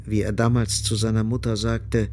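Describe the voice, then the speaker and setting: A man narrating a literary radio play in an observant, expressive manner, with a slightly husky voice. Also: on the low side, moderate pace, slight background noise, close to an everyday voice. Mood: observant, measured.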